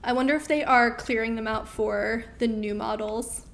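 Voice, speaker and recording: Upbeat woman host, fairly high voice. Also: steady tone, moderate pace, fairly bright tone. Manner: chatty, upbeat, with frequent ums. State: losing her voice. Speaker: host of a live Q&A stream. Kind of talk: casual live-stream chat reading viewer questions.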